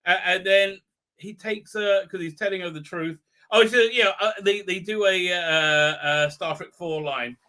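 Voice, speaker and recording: Guy doing a livestream recap, high piercing voice, rambles and repeats himself, sarcastic and fed up. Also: normal speed. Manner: exasperated, animated outbursts. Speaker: host of a fan review livestream. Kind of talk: casual mocking episode recap.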